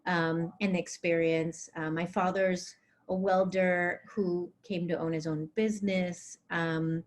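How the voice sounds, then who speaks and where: A woman with a fairly low voice talks about her work in an interview over a video call. She grew up partly in Greece with Greek as her first language, and she speaks haltingly, with frequent fillers and restarts. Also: level tone. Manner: hesitant, many fillers and restarts. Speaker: author being interviewed. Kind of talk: conversational interview.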